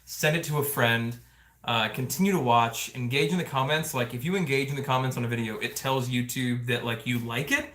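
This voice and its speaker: A man's voice: medium pitch, a little fast, fairly bright tone. Chatty male livestream host in echoey room. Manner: chatty, joking, engaged with viewers. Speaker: family vlog host. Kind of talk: casual livestream chat. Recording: roomy indoor echo.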